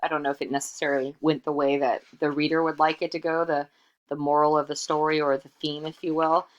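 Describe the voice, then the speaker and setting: Low-pitched woman reading a story aloud. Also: fast, lo-fi sound. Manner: animated, asks the listener questions. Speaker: storybook reader. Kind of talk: expressive read-aloud narration.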